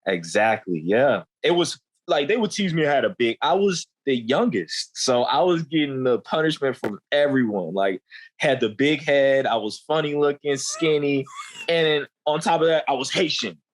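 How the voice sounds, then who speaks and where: A man's voice: medium pitch, lively intonation, normal speed. A young man in his twenties, a guest telling candid stories in loose, slangy conversation, often saying "you know what I'm saying.". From United States.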